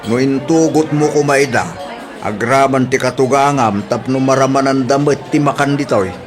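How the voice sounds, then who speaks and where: A man's voice: mid-range voice, normal speed, lightly acted. A man playing a frugal, teasing old father in an Ilocano comedy drama, with an Ilocano accent. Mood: teasing, grumbling. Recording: loud music and crowd behind.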